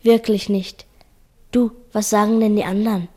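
A woman's voice: mid-range voice, flat delivery, normal speed, dark tone, close to an everyday voice. A woman playing a small, brave schoolboy in a radio drama, with a hesitant, earnest manner. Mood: brave, reassuring. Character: small, brave, timid-hearted injured schoolboy.

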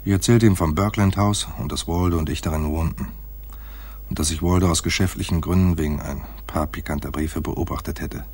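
A man's voice: deep voice, a little mellow, breathy edge. An actor playing a laconic, shrewd private detective in a radio play, dry and slangy, telling his case like noir. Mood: dry narration. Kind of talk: 1980s German radio play, noir dialogue and narration.

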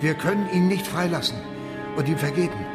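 An actor playing an exasperated leader of a space colony in a German radio play, agitated and emphatic in standard German. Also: male voice, on the high side, expressive, fairly quick, over loud music, close to an everyday voice.

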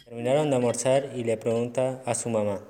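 A man's voice: medium pitch, fairly even intonation, brisk, dark tone. A man narrating a scripted radio drama. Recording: echoey, noisy amateur recording.

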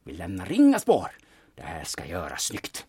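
Man acting a husky, low-voiced, shrewd older man. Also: wildly swooping pitch, dark tone, dry recording, full character voice. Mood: absorbed. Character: shrewd, secretive older man, war veteran turned sleuth. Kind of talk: serial audio drama acting.

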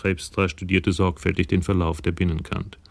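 Deep, creaky-voiced male narrator. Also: little pitch movement, normal speed, no echo. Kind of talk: scripted narration of a radio crime drama.

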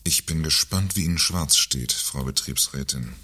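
A man's voice: very deep voice, normal speed, background noise. A man playing a sarcastic, menacing company director in a satirical radio play, with a condescending manner. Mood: cold, threatening. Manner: sardonic, condescending.

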